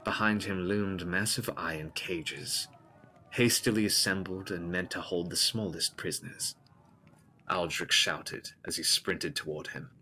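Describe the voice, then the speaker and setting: A man's voice: deep voice, steady tone, unhurried, bright, forceful tone, a little hiss or hum, low-quality recording. A man delivering scripted, dramatic narration of a gothic horror story.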